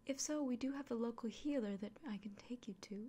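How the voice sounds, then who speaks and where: A woman playing a kind, anxious village innkeeper in a fantasy ASMR roleplay, speaking gently and directly to the listener in a breathy, mostly whispered voice. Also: on the high side, quick pace. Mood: caring.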